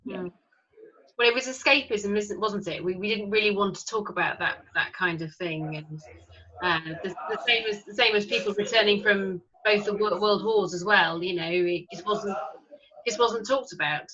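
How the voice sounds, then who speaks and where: A woman's voice: medium pitch, normal speed, sharp tone, lo-fi sound. A woman hosting a casual interview, agreeable and hesitant, often restarting her sentences. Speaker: interview host.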